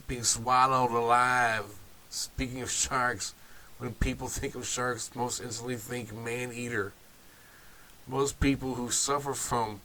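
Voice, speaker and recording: A man's voice: medium pitch, sharp tone, a little hiss or hum, poor audio. A man hosting a video talk series, sounding nasal from a cold, loose and offhand with asides. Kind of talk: informal talk to camera.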